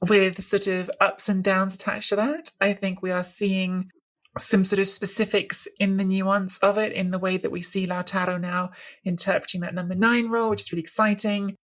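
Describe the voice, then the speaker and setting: A woman's voice: medium pitch, level tone, normal speed, a bit bright. A woman co-hosting a football podcast, talking through tactics in a thoughtful way and often restarting as she searches for words. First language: English. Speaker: podcast co-host. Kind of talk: casual football analysis chat.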